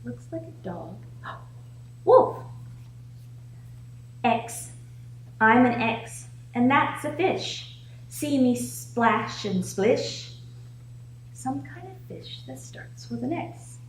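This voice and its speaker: Slow, high-voiced woman reading to children. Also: sing-song. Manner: encouraging, slow, sing-song prompting of young listeners. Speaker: library storytime host reading a picture book aloud. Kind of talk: read-aloud for young children with prompting questions. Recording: echoey room with faint background noise.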